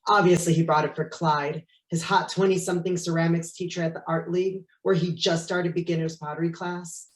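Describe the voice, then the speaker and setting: Cartoon client, 50s male, played by a man: gruff, indignant, jealous husband. Echoey room sound. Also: on the high side, flat delivery, brisk, close to an everyday voice. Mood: distressed, suspicious. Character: gruff, jealous fifty-year-old husband, a distraught client. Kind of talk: animated comedy script table read. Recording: roomy, echoing remote microphone.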